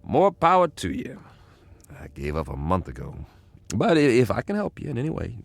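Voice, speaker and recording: An actor playing a breezy, confident, eager man in a radio drama, with an excitable, halting way of talking. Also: medium pitch, gravelly, exaggerated voice. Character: easygoing, eager male government codebreaker, breezy and confident.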